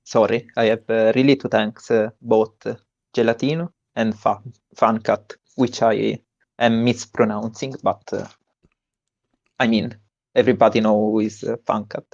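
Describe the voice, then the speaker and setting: Man with an Italian accent, gravelly. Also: on the low side, little pitch movement, a little mellow, dry recording, dull audio. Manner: halting, many "uh" fillers and restarts. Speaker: tournament winner. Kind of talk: post-win stream interview.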